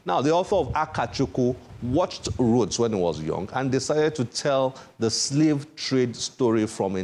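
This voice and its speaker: A man's voice: lowish voice, darkish tone. A man with a Nigerian accent hosting a TV book segment, enthusiastic and emphatic, repeating words for effect. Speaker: TV book show host. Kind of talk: enthusiastic presenting to camera.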